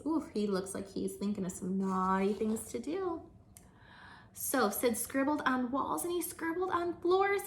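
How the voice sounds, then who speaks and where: A woman teacher reading a rhyming children's storybook aloud with expressive narration. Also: high-pitched. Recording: echoey, roomy sound.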